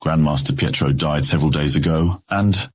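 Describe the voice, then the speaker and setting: An actor playing a scheming, condescending noble brother who mocks his younger sibling with cold sarcasm in a husky voice. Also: extremely low pitch, normal speed. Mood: smug. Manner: smug, mocking, coldly sarcastic. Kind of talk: audio drama acting.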